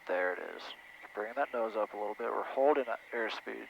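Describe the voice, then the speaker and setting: Male flight instructor, fry-edged voice, casual coaching in flight, muffled headset sound. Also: on the low side, a little fast. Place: small airplane cockpit in flight. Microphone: aviation headset intercom. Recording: dull intercom audio, faint cockpit noise.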